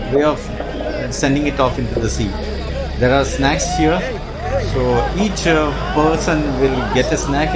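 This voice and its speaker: A man, explaining a ceremony in halting casual narration, with many fillers, while filming outdoors. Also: fairly high pitch. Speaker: travel vlogger. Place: outdoors at a busy beach boatyard. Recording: loud crowd and music behind. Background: loud crowd and music.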